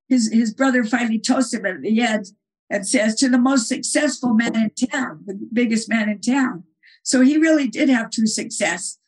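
A woman's voice: on the high side, a little mellow, breathy edge, rough recording. A woman author as a guest, earnestly explaining her ideas and retelling stories in an interview. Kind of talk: conversational interview answer.

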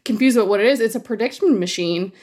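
A woman's voice: on the high side, quick pace, darkish tone. A woman speaking as a guest on a panel discussion, in a casual, chatty way with light laughs.

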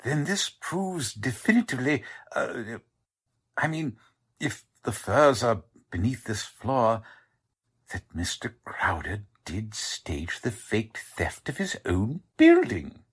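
A man acting a fussy, smug company man with a crooked side in a 1940s-style radio drama, his voice husky. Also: medium pitch, very animated, slow, full character voice. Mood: fussy, flustered. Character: fussy company man who is secretly a smug crime boss.

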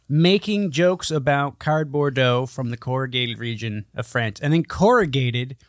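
A man in his thirties co-hosts a casual podcast chat. He tells stories in a loose, profane, joking way. The recording is dry and free of room echo. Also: on the high side. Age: 30s. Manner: profane, jokey. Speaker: podcast co-host.

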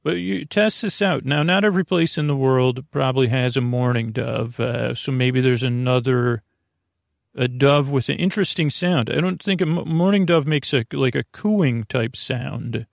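A man with a creaky voice hosting a sleep podcast in a rambling, halting, gently self-deprecating monologue that keeps wandering off mid-thought. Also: medium pitch, no echo, studio quality.

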